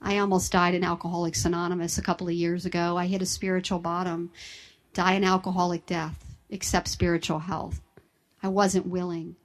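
A woman, sharing her personal recovery story with an audience in a candid, self-deprecating way. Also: medium pitch. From Southern United States. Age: 50s.

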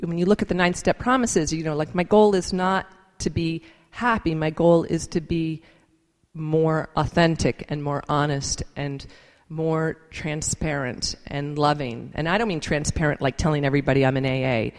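A woman's voice: deep voice, fairly even intonation, normal speed. A woman giving an earnest, informal personal testimony to a gathering, thinking aloud as she speaks in a large reverberant room. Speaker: speaker at a recovery meeting. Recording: reverberant hall.

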